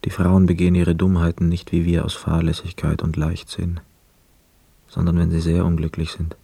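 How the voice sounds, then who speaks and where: An actor playing a poor, wistful young man who narrates a literary radio drama in a measured, reflective manner. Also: deep voice, completely flat delivery, gravelly, slightly rough, heavily acted. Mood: sombre narration. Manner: measured, reflective, hushed inner asides.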